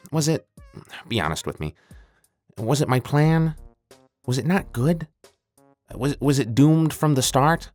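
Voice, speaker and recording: A man playing a self-pitying, petulant evil mad scientist in a comedic audio skit, stammering and melodramatic. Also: mid-range voice. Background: creepy music.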